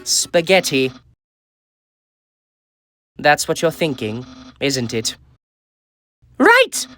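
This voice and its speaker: A bombastic, lovably naive skeleton from a video game, full of himself and grandly theatrical. The pitch leaps wildly from low murmurs to high cries, while the pace stays deliberate and slow. Music plays faintly behind the voice. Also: male voice, full character voice. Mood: grandiose. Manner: grandiose, theatrical, swinging from hushed asides to loud exclamations. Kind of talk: amateur video game character dub. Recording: voice over background music.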